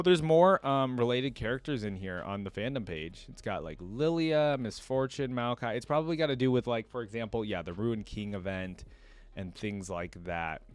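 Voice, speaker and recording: Low-voiced man, casual reaction commentary. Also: no echo. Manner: chatty, thinking aloud. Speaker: reaction video creator. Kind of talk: casual commentary, reading wiki text aloud.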